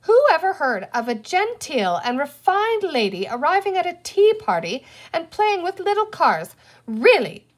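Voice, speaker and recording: A woman's voice: high voice, big pitch swings, moderate pace, pitched above the performer's own voice. A woman voicing a bossy, exasperated young girl in a story, scolding and commanding as a big sister.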